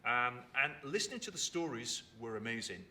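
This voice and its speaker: A man in his fifties from England talks in a reflective, unhurried storytelling way, with a bright tone. He lingers over his words and repeats them for emphasis. A faint low rumble sits behind his voice in a theatre. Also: big pitch swings. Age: 50s. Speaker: storyteller and writer.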